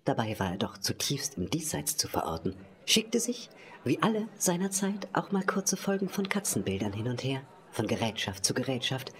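A woman's voice: rumbling low, big pitch swings, normal speed. A woman narrating an audio drama in a theatrical, wry and musing way, often slipping towards a whisper.

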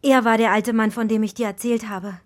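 A woman's voice: on the high side, fairly even intonation, a little fast, lightly acted. A woman playing a bossy, bungling forest fairy in a children's radio play, dry and matter-of-fact with a theatrical streak. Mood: insistent.

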